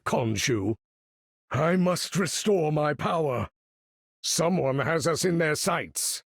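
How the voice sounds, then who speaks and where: Imperious, gravelly older supervillain. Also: male voice, on the high side, no echo, clean studio sound, full character voice. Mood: haughty, commanding, then strained. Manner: pompous, theatrical, formal diction. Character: imperious older male supervillain commanding a battle. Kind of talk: video game voice lines.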